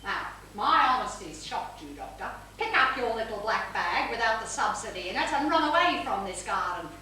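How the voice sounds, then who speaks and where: A woman's voice: on the high side, expressive, bright, forceful tone. Woman acting imperious wealthy widow in echoing theatre. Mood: cold, commanding. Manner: domineering, theatrical, deliberate. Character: imperious wealthy older widow, commanding and ruthless mother. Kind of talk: stage play acting. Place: small theatre stage. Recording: very echoey theatre, distant mic, noisy.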